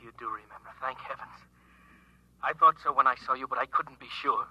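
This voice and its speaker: A man's voice: mid-range voice, brisk, bright, forceful tone, slightly rough, dry recording. A frightened informant on the telephone in a vintage radio play. His voice is piercingly thin and filtered, urgent and conspiratorial, on a lo-fi recording. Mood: furtive, urgent. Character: furtive, frightened man giving secret warnings by telephone. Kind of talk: old-time radio drama acting.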